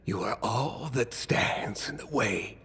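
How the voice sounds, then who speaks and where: Slow, weary, remorseful fallen mastermind. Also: male voice, mid-range voice, lively intonation, a bit bright, exaggerated voice. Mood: urgent. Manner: halting, grave, breathy trailing phrases. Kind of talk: dramatic video game monologue.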